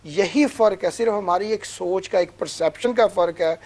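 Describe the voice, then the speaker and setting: A man's voice: sits high, moderate pace, darkish tone. A man with a Pakistani accent giving conversational interview answers, earnest and motivational, repeating phrases for emphasis. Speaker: businessman guest.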